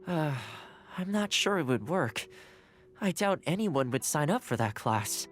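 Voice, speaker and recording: An actor playing a gentle, courteous young man in a video game, speaking in a measured, encouraging way. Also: on the high side, big pitch swings, faint music behind. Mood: doubtful. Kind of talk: scripted game character dialogue.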